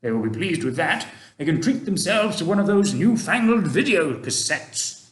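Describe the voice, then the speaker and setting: A man's voice: a little high, very animated, moderate pace, roomy sound, voice pushed up. A man voicing a kindly, genteel old male tramp, polite and old-fashioned. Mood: fussy, genteel.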